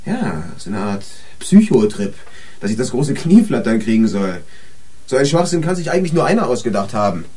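An actor playing an irritable, sarcastic, suspicious man in a German radio crime play, gruff and quick to flare up. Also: medium pitch, big pitch swings, warm tone. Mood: agitated, suspicious.

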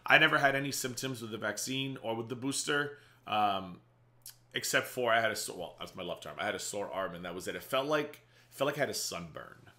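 A man in his thirties hosting a casual reaction video from a small home office, slightly raspy, chatty and sarcastic. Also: on the low side, expressive, bright, forceful tone. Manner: chatty and sarcastic, quick asides. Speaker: reaction channel host.

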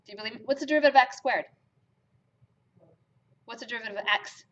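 Woman teaching a math class, kinda high voice, emphatic, dull lo-fi classroom recording. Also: fast talker. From Germany. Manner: lively, emphatic, rhetorical questions. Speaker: math lecturer. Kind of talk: classroom lecture. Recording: lo-fi lecture capture.

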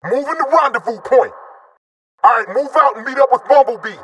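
A man's voice: extremely high pitch, a little fast, a bit bright, slight background noise. An actor playing a brash, cocky robot jet squadron leader in a video game, a man barking slangy, swaggering, punchy combat callouts. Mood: brisk, commanding. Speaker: squad leader calling battle orders.

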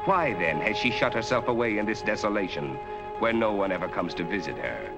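Brooding night-walking narrator, slow and ominous, lively low voice, music under it, old film sound. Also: male voice, dull audio. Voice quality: slow, ominous, measured delivery. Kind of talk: 1940s film noir narration.

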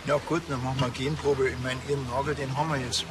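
An actor playing a laconic, dryly sarcastic police officer with a Bavarian accent in a television crime comedy. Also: male voice, mid-range voice, fast talker, noisy background, natural voice. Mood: unruffled. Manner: dry, deadpan.

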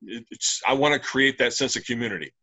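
A middle-aged man speaks over a webcam call from a small room, sounding bright and upbeat. He talks plainly and in detail as an interview guest. His delivery is easygoing and conversational. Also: mid-range voice, fast, breathy edge. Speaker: brewery owner, guest. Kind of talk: casual video-call interview. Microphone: webcam on a video call.

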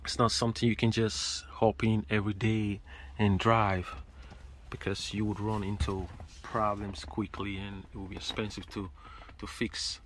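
A man in his thirties walking a car auction lot, filming himself on a phone and talking casually and excitedly about the cars. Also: lowish voice. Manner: excited, chatty, exclaiming over cars. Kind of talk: casual selfie vlog. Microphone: phone camera at arm's length. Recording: outdoor phone audio with background noise.

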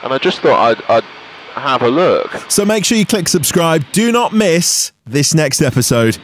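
A man's voice: fairly high pitch, sing-song, moderate pace, a little hiss or hum, rough recording. An Englishman in his thirties, a pilot vlogging about flying in a matter-of-fact way. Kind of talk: vlog narration and cockpit talk. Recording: faint engine drone behind.

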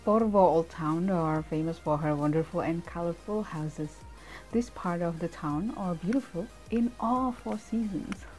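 A woman's voice: lowish voice, a little slow, darkish tone, soft background music, rough recording. A middle-aged woman giving a cheerful, welcoming walking tour on a town street, talking into a phone camera. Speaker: travel vlogger. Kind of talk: casual vlog narration.

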